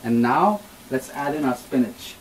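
A man's voice: mid-range voice, lively intonation, a little slow, noisy background. A young man giving a casual, step-by-step cooking demonstration as host in a small home kitchen. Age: young adult. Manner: explains step by step.

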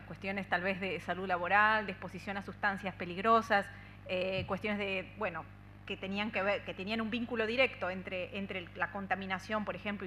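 Bright-voiced Argentine woman at a panel. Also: mid-range voice, slight background noise. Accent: Argentine Spanish. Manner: earnest and fluent, with frequent "eh" fillers and restarts. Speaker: union policy adviser speaking on a panel. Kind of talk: conference talk. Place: conference room at a table. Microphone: desk microphone on a stand.

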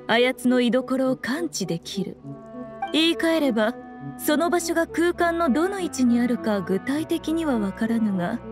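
A woman's voice: sits high, animated, moderate pace. A woman playing a sly, regal fox shrine priestess in an anime game, speaking in an archaic, haughty and composed way. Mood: measured, knowing. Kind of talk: anime video game acting. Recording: music behind the voice.

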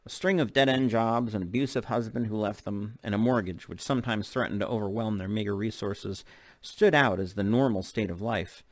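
Male narrator, middle-aged, lower-pitched, reading fiction into a close mic, very dry. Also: dry recording. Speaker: author reading a novel aloud. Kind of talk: audiobook-style story narration. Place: open living room at home. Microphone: desk microphone close in front.

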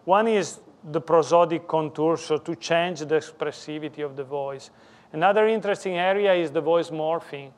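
A man with an Italian accent, a native Italian speaker, lecturing in halting English that he often corrects mid-sentence. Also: fairly high pitch. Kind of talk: technical conference talk. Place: lecture room.

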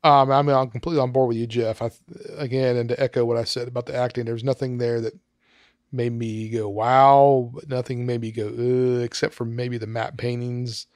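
A man's voice: mid-range voice, little pitch movement, fairly quick, a little mellow, no room sound, studio quality. A man on a film podcast panel, giving his ratings in casual chat with lots of ums.

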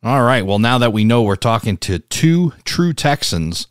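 A man's voice: mid-range voice, very animated, moderate pace, smooth, dry recording, clean studio sound. A man hosting a podcast, asking questions in a casual interview style.

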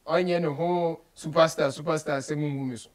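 A Ghanaian man with a high-pitched voice and a Ghanaian accent hosts a relaxed interview. He moves freely between Twi and English in a casual, conversational style. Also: brisk. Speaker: radio show host. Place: small studio office, indoors.

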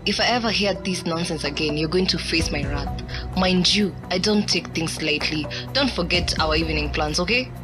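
A woman's voice: fairly low pitch, moderate pace, close to an everyday voice. Woman acting a menacing female boss, music behind. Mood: stern, controlling. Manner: commanding, threatening. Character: menacing, domineering female boss, coercive and possessive. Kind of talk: radio drama acting.

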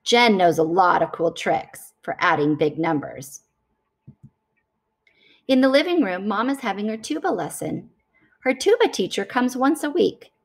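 A woman reading a picture book aloud to children in an expressive, deliberate storytelling style. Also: mid-range voice, creaky, breathy edge.

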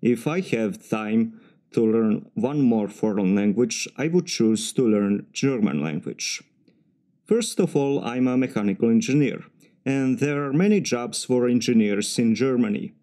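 A man with a Serbian accent giving formal, measured practice answers for a timed speaking exam. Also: medium pitch, animated, mellow. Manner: formal, measured, slightly stiff phrasing. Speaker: mechanical engineer practicing test answers.

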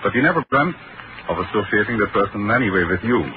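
An actor playing a calm, authoritative male doctor explaining a crime in 1940s radio drama, measured and formal. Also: on the low side, lightly acted. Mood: composed. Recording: dull old radio broadcast with hiss.

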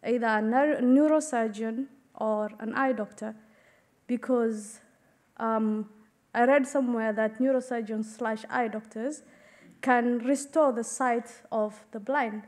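A woman in her thirties from Kenya, giving an earnest, measured talk at a conference podium. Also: fairly high pitch, fairly even intonation, a little mellow. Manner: earnest, measured, with fillers and gestures. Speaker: conference speaker. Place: conference stage, indoors. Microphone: podium microphone.

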